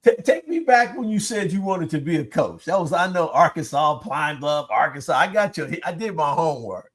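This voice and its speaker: A man hosts a casual podcast interview. His voice sits a bit high for a man, and he keeps things friendly and joking with quick laughs. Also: lively intonation, fairly bright tone. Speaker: podcast host.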